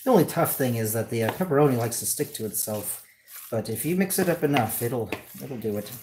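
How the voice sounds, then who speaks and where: A man chats casually while hosting a cooking livestream in a home kitchen. His delivery is off-the-cuff and jokey, with pitch that jumps around a lot. He is picked up by a camera on the counter, with some noise and clicking behind him. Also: lively intonation, a little fast. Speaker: cooking show host. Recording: clearly noisy home kitchen livestream audio.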